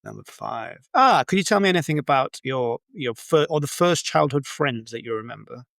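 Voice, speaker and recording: A man's voice: mid-range voice, expressive, normal speed, vocal fry, fairly bright tone, no room sound, clean studio sound. A man hosting an interview in a casual, discursive conversational style. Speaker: podcast interviewer.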